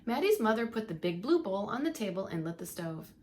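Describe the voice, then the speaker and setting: A woman reading a picture book aloud to young children as a preschool teacher, expressive and emphatic, with little asides to her listeners. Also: medium pitch, a little fast. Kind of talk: expressive storybook read-along for young children.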